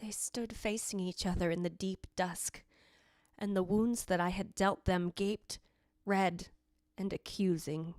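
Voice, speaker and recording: A woman's voice: lowish voice, fairly even intonation, darkish tone, dry recording, studio quality, lightly acted. A woman playing the jealous, anguished ghost of a dead wife, narrating in a literary, theatrical old-time radio drama style. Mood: sorrowful. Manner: literary, theatrical, deliberate delivery.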